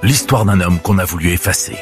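Grave low male book-ad voice. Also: fairly quick, music in the background. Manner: grave, solemn. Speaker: commercial announcer. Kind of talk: book advertisement voice-over.

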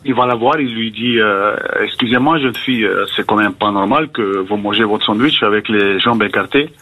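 A man whose first language is Bulgarian, calling a radio show to tell a joke, halting and restarting as he goes. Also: lowish voice, sharp tone, low-quality recording. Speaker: caller. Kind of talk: telling a joke on a radio call-in.

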